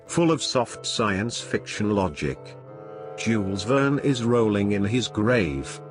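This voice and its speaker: A man playing a pompous, sardonic robot animus, exasperated and theatrical, in a scripted comedy sketch, with a husky voice. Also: fairly low pitch, sing-song, slow, over music. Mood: weary sarcasm. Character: fussy, exasperated robot eyeball, a sarcastic male animus.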